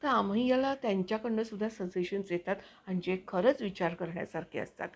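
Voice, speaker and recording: A woman hosting her own channel, chatting to viewers in a casual, explanatory way with hesitant pauses as she finds her words. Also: mid-range voice, steady tone. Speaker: actor and video channel host.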